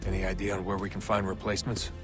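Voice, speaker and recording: A man plays a young American son in a video game drama, resentful and sarcastic, pushing back with open frustration. Loud music plays behind him. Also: low-pitched, monotone, very fast, natural voice. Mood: questioning. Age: young adult.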